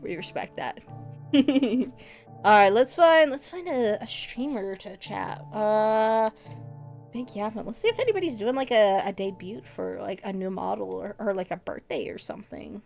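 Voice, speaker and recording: A woman's voice: medium pitch, expressive, normal speed, creaky, darkish tone, soft background music. A woman livestreamer chatting casually with her viewers, teasing them playfully and laughing often. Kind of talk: casual stream chat with viewers.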